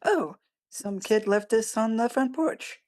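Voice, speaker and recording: A woman's voice: fairly high pitch, expressive, measured pace, a little mellow. A woman playing a distracted, impatient mother of forty, curt and dismissive, in an amateur screenplay table read. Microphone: video call.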